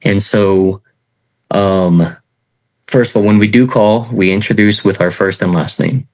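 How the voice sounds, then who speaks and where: A middle-aged man gives a loose, unscripted sales lesson in a fairly low voice. He talks in an even, self-described monotone, stopping often to say "okay" and "right?" to his listeners. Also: measured pace, rough recording. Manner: self-described monotone, many fillers and "okay" check-ins. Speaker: sales trainer. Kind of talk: informal teaching talk.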